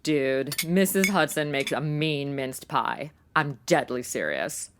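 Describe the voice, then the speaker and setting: A woman's voice: low voice, expressive, slow pace. A woman playing a slangy, blunt, sarcastic modern young woman who is a detective's sidekick in a comedy audio drama. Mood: wry, questioning.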